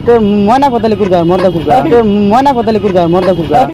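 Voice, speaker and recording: A man's voice: sits high, expressive, fast talker, over loud music. A man doing street prank banter in dialect, insistent and repetitive, feigning confusion. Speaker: prankster posing as a chicken buyer. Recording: outdoor market with crowd and traffic. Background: busy outdoor crowd and vehicles.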